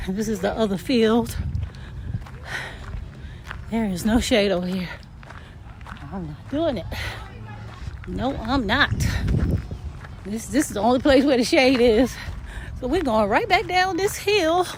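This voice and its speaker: Hoarse winded older woman walking outdoors. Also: on the high side, expressive, slow, long pauses, a little hiss or hum. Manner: rambling, chatty, frequent fillers. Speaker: vlogger. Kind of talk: casual chat while walking. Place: outdoors in a park field.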